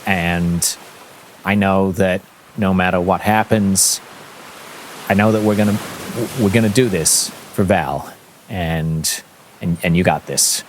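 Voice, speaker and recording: A man's voice: low-pitched, slow, pauses often, a little hiss or hum. A man voicing a nervous young male bard who rambles and stammers eagerly in a tabletop game. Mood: nervous, sincere.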